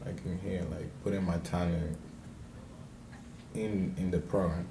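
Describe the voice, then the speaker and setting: A young man from the Dominican Republic introducing himself on video, halting with many fillers and restarts. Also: low-pitched, fairly even intonation, vocal fry, roomy sound, background noise. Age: young adult. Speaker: graduating senior.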